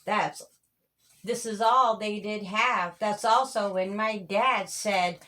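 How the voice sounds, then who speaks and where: A woman's voice: medium pitch, slow, pushed and bright. A woman reading her own children's story aloud in rhyming verse, with a sing-song cadence.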